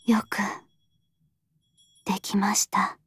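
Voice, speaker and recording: A woman's voice: slow pace, a bit bright, in a whisper, faint music behind. An actress playing an aloof, terse female deity in an anime audio drama, curt and subdued. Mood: subdued, sad.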